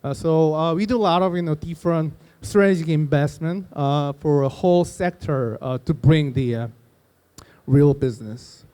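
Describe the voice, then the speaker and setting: Halting man with smooth voice. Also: a little high, dark tone. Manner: halting, heavy "uh" and "you know" fillers, non-native phrasing. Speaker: corporate investor presenting. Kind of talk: conference pitch presentation. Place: conference stage.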